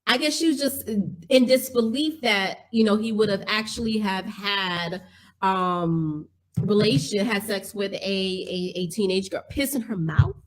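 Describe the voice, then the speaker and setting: A woman's voice: mid-range voice, a little slow, low-quality recording. A woman co-hosts a casual podcast, keeping up a stream of supportive murmurs. When she tells a story herself she gets hesitant, restarting her phrases as she goes. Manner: supportive, chimes in with affirmations, hesitant when recounting. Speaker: podcast co-host. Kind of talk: casual chat.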